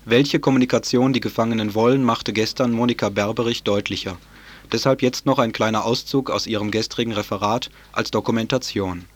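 A man's voice: fairly low pitch, fairly quick. A man presenting a community radio news show in a loose, conversational way. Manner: relaxed, loosely worded, conversational. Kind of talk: informal radio news moderation.

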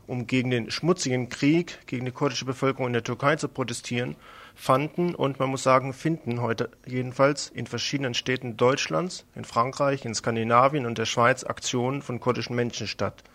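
A man's voice: mid-range voice, fairly bright tone. Earnest male radio news presenter, pointed delivery. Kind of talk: political radio news reading with commentary.

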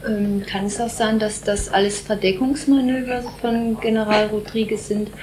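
A woman's voice: mid-range voice, fairly even intonation, moderate pace, a little mellow, poor audio. A woman in a mid-range voice asks a guest pointed follow-up questions. A faint hiss sits under her voice. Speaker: interviewer. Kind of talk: radio interview questions.

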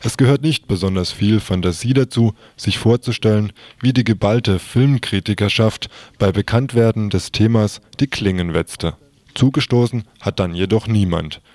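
Male radio presenter, lowish voice, close dry sound, scripted report delivery. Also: a little mellow, no room sound.

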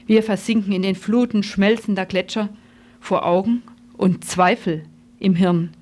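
A woman narrates a scripted radio feature as its reporter. She speaks at a medium female pitch, with ironic and dramatic phrasing. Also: steady tone, a little slow, darkish tone.

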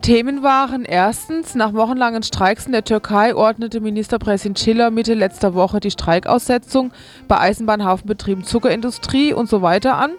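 A woman's voice: on the high side, brisk. A woman radio presenter reading scripted event listings and programme announcements. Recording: music behind the voice. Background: music.

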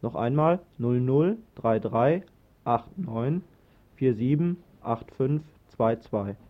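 A man's voice: medium pitch, lively intonation, slow. A man hosting a radio programme, giving station announcements and links from the studio. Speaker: radio host. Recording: dull lo-fi 1980s radio recording.

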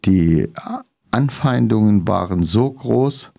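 A low, gravelly man answers an interviewer's questions. He speaks hesitantly, with many fillers and grammar. His voice is dark and mellow. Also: animated, slow pace. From Syria. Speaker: restaurant owner.

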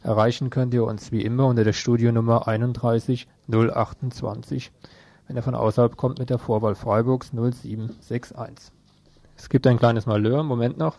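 A man's voice: mid-range voice, steady tone, moderate pace, vocal fry, darkish tone, no room sound. A man presenting and reading news items on a radio programme.

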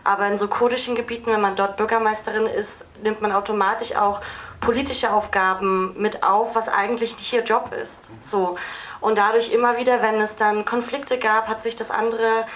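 A woman's voice: medium pitch, flat delivery, moderate pace, a bit bright. A woman film director giving spontaneous, explanatory answers at an audience Q&A, hesitating as she goes. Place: film festival screening venue. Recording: noisy live event recording.

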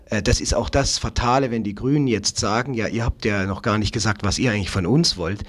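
A man speaking as a political guest in an unscripted discussion, haltingly searching for words and repeating phrases. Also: lowish voice. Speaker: interview guest, Green local politician.

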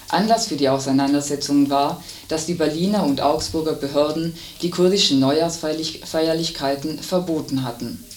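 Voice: medium pitch, darkish tone. A news reader delivering scripted radio news. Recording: roomy, distant, hissy radio recording.

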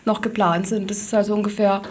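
A woman's voice: medium pitch, little pitch movement, fast talker, darkish tone. A woman giving unscripted explanatory answers as an activist interview guest, hesitating as she searches for words.

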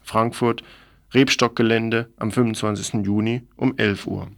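Male radio presenter, creaky voice, dry close sound, formal scripted news reading. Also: fairly low pitch, steady tone, normal speed, no room sound.

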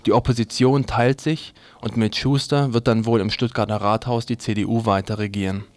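Guy reading the radio news, lowish voice, really dry studio sound. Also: steady tone, a little fast, no room sound.

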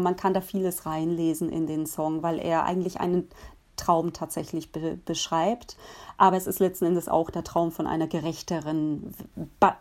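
A woman musician answering interview questions in a relaxed, thoughtful way, pausing often with fillers and restarting her sentences. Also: mid-range voice. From Germany. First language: Polish.

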